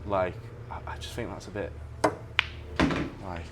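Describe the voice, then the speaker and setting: A man's voice: low voice, flat delivery, a little slow, heavy background noise. A man, chatting casually as a guest while playing pool, with lots of "like" and "um". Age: 20s. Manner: laid-back, lots of "like" and "um". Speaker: guest coach. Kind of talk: casual chat over a game of pool.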